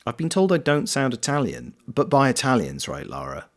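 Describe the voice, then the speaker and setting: A man's voice: medium pitch, wildly swooping pitch, moderate pace. A British man streaming a game, chatting casually and reading viewers' comments aloud, greeting them by name. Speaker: streamer and voice actor.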